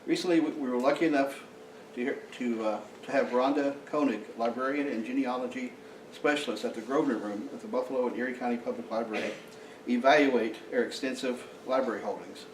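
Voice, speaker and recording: A man introduces a guest speaker at a society meeting, stumbling over his words with frequent fillers. He is heard from some distance in a roomy, echoing hall. A faint hiss hangs under his mid-pitched voice. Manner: halting, frequent uh fillers and restarts. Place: meeting room. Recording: echoey room, mic from far off.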